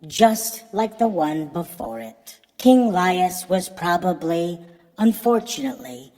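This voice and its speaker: A woman playing a calm, soothing queen with a gentle, measured and formal manner in a storybook tale. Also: on the low side, big-room sound. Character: calm, soothing queen reassuring her husband.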